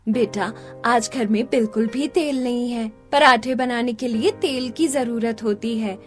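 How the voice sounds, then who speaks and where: Cartoon role: poor, anxious young mother played by a woman, high voice, earnest and pleading, gentle with family. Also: measured pace, faint music behind, close to an everyday voice. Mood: gentle, soothing. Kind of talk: Hindi cartoon story dialogue.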